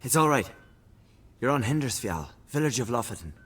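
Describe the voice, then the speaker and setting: A man playing a gentle, reassuring villager in a video game, with a husky voice. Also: mid-range voice, big pitch swings, moderate pace. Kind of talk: quiet video game acting.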